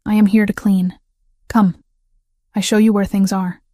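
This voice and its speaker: A woman's voice: mid-range voice, little pitch movement, measured pace, mellow, dry recording, close to an everyday voice. A woman reading an older Italian housekeeper. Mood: instructing. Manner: simple broken English, instructive.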